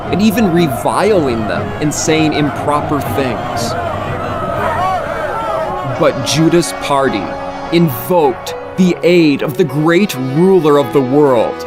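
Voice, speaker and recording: Slow, solemn man narrating scripture over music. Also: on the high side. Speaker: audiobook narrator.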